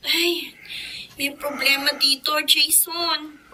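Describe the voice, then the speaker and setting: A woman's voice: high voice, fairly even intonation, slow pace, bright, forceful tone, full character voice. An actress in a radio drama playing a frightened, prayerful woman working abroad in a war, with a tearful delivery. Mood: worried. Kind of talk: radio drama acting, emotional.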